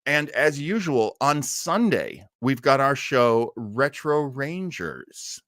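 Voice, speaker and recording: A man's voice: mid-range voice, sing-song, takes it slowly, a bit bright, dry recording, clean studio sound. A man hosting a casual livestream, rambling enthusiastically as he answers viewer comments. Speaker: host of an old-time radio listening show.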